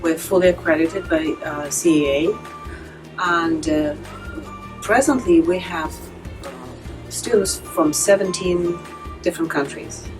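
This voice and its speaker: Brief: low-pitched female academic director, measured halting delivery, music underneath. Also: poor audio. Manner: careful, frequent uh pauses. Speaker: academic director of a language institute. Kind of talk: prepared statement.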